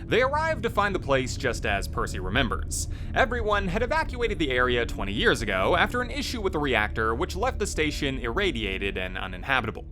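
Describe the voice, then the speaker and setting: A man's voice: mid-range voice, huge pitch swings, fairly quick, few pauses. A man giving scripted narration as a video host. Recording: music playing behind the voice. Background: loud music.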